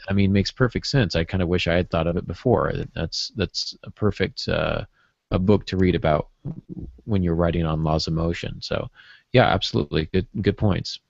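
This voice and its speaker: A man co-hosting a writing podcast, summing up and affirming points in a halting, conversational way. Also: deep voice, creaky, darkish tone, dry recording, dull audio. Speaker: podcast co-host, writer.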